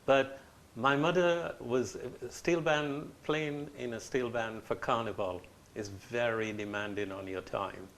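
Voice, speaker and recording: An older man, answering as a guest in an interview and telling his story in a measured, reflective way. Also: mid-range voice, steady tone, fairly bright tone. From Trinidad.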